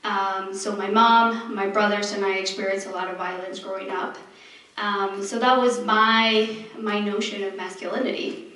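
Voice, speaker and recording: A woman from Mexico with a Mexican accent, a native Spanish speaker on a panel, speaking earnestly with many pauses and ums. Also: medium pitch, steady tone, fairly bright tone. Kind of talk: earnest personal account on a panel. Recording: echoey hall, far from the mic, faint noise.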